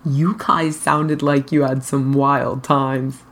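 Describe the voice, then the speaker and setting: A woman's voice: extremely low pitch, little pitch movement, slow, a bit bright, exaggerated voice. A woman voicing a playful, teasing young woman in a casual, amused way for a story.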